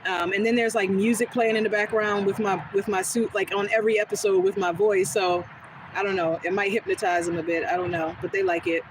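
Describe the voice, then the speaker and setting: A woman with a smooth, soothing voice, chatting casually with viewers during a live stream and answering their comments as she goes. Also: mid-range voice, fairly quick. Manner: relaxed, rambling, talks back to chat comments. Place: outdoors on a quiet street. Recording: noisy outdoor phone recording.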